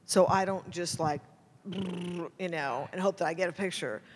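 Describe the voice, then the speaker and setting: Halting American woman in a reverberant hall. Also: fairly low pitch, slight background noise. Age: middle-aged. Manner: halting, self-interrupting, informal. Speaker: guest photojournalist. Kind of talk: casual question-and-answer talk before an audience. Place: indoor hall at a public talk.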